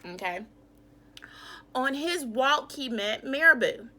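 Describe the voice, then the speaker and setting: A woman teacher giving a children's read-aloud lesson in an expressive, instructive way. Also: high-pitched, slow pace. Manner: expressive, instructive, asks questions of pupils.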